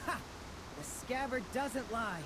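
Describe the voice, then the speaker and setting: A woman's voice: a little high, slow pace. A woman voicing a playful, teasing little female fox companion in a video game. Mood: smug excitement. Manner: teasing, expressive. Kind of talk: video game character acting. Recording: hissy background noise.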